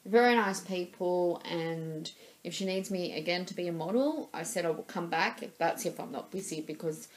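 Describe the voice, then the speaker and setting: A woman's voice: lowish voice, normal speed, low-quality recording. Stuffy-nosed Australian woman vlogging. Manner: rambling, many fillers and repeats. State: stuffy nose, sore throat. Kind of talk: casual vlog chat. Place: small room indoors. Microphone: webcam at desk level.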